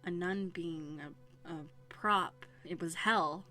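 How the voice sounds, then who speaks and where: A woman plays a bookish young runaway princess in an audio drama. The voice sits slightly low for a woman, with an earnest, conversational delivery and hesitant phrasing that carries a quiet bitterness. It is closely miked, with music underneath. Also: takes it slowly. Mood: bitter, wounded. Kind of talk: roleplay audio drama acting. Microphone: close USB condenser microphone.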